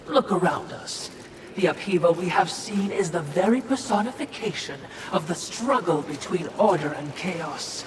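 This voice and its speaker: A woman's voice: fairly low pitch, expressive, moderate pace, background noise, close to an everyday voice. An actress playing a sly, servile female spirit attendant in a video game, formal and insinuating in manner. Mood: sly, knowing. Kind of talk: video game cutscene acting. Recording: echoing, distant-sounding voice over music.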